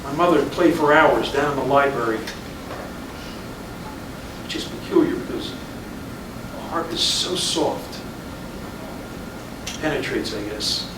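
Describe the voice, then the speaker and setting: A man in a staged play reading, playing a weary, regretful, stubborn husband of fifty-five who speaks haltingly. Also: medium pitch, close to an everyday voice. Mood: wistful. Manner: halting, repeating words, brooding. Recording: echoey room from far off, noisy.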